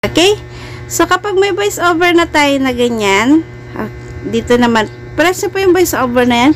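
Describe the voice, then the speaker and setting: A woman's voice: high voice, lively intonation, moderate pace, soft background music. A Filipino woman hosting a casual, friendly and chatty step-by-step phone editing tutorial for beginners, speaking politely to her viewers. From Philippines. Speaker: host of a video editing tutorial channel.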